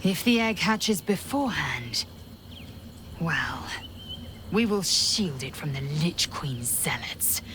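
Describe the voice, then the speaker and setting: An actress playing a stern, proud alien warrior woman in a video game, clipped and commanding, with a breathy, hissed edge and music behind her. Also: sits low, expressive, slow pace. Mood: stern, protective. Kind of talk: video game character acting.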